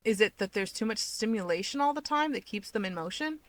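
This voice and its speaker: A woman's voice: medium pitch, expressive, fairly quick, no room sound. A woman reading scripted fiction aloud as an audiobook narrator.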